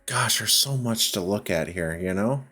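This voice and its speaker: A man's voice: on the low side, expressive, normal speed, sharp tone. A man giving casual gameplay commentary, thinking aloud in a hesitant, self-questioning way as he works through a puzzle game. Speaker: video game playthrough host.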